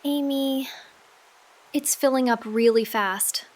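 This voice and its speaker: A woman playing an anxious, skeptical sidekick sleuth in an audio drama, hesitant and questioning with deadpan humor. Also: high voice, takes it slowly. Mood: deadpan worry.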